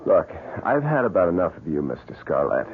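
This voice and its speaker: A man playing a stubborn, ambitious, excitable fellow in a radio mystery drama, with an insistent, argumentative delivery. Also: sits low, fairly quick, a little hiss or hum. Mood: defiant. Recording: tinny, band-limited old radio broadcast.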